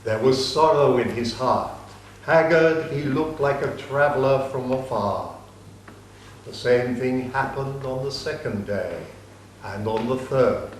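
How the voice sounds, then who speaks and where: A man tells an ancient tale in a slow, measured storyteller's voice. The mic sits at a distance in a very echoey room, with a faint hiss behind him. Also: medium pitch. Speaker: narrator. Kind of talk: dramatic reading of an epic.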